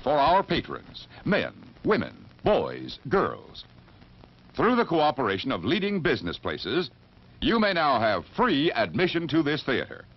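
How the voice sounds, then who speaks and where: A man's voice: mid-range voice, huge pitch swings, measured pace, slight background noise. A man delivering a vintage movie theater promotional announcement as a cinema announcer. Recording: dull, worn old film soundtrack.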